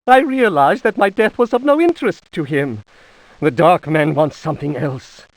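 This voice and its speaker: A man's voice: fairly high pitch, lively intonation, moderate pace, dry recording, rough recording. An actor voicing a doomed diary writer in a horror game, theatrical and anguished as he slips into despairing madness. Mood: haunted, dreamy.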